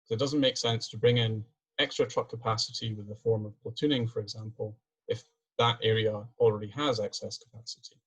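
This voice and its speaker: A man's voice: lowish voice, steady tone, fairly quick, slightly rough, poor audio. A young Scottish man, a PhD student, giving a webinar presentation over a video call in an earnest way with frequent restarts. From Scotland.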